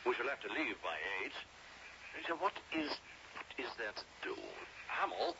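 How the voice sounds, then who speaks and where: Lively colonial English gentleman, noisy recording. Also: mid-range voice, moderate pace, creaky, full character voice. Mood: puzzled, chiding. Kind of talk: old-time radio drama acting. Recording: muffled, noisy old radio drama tape.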